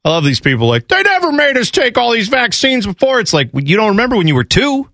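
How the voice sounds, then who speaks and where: A man's voice: very high-pitched, wildly swooping pitch, quick pace, bright, forceful tone, no echo. A man co-hosting a sports radio show, joking and rambling with eager restarts at a studio microphone. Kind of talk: morning radio banter.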